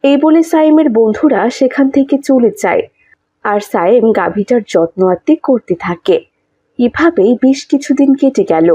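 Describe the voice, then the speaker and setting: A woman's voice: on the high side, lively intonation, moderate pace, mellow, breathy edge, no echo, dull audio. A woman narrating a scripted story as the storyteller.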